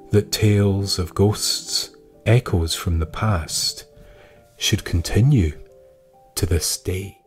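A man reading scripted historical tales as a podcast narrator, measured and with dramatic pauses. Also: deep voice.

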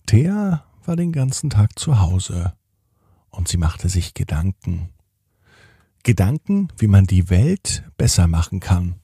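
A man narrates a children's bedtime story with a very husky voice. He takes his time and phrases deliberately, speaking directly to young listeners. The tone is mellow, in a close, dry recording. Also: deep voice, big pitch swings, no echo. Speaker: children's podcast host and storyteller.

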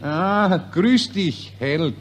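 Dim, swooping Viennese army officer. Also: male voice, high-pitched, expressive, very slow, slight background noise, heavily acted. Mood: curious, jovial. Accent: Viennese Austrian. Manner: bumbling, questioning, self-correcting. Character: chatty, dim-witted Austrian army officer, easily muddled. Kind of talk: 1940s radio acting. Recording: 1940s radio play recording.

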